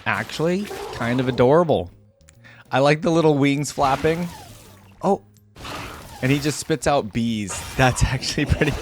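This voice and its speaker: A man hosting a casual reaction video, chatting excitedly and thinking aloud with lots of fillers. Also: medium pitch, moderate pace, long pauses, faint music behind.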